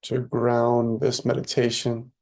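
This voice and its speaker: A man leading a guided meditation in a soothing, unhurried way, with spare phrases and long pauses between them. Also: medium pitch, completely flat delivery, vocal fry, a little mellow.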